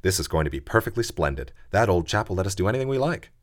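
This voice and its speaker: Man doing a hearty well-spoken older brother, brisk and confident. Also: deep voice, sing-song. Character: eldest boy, cheerful, confident and proper.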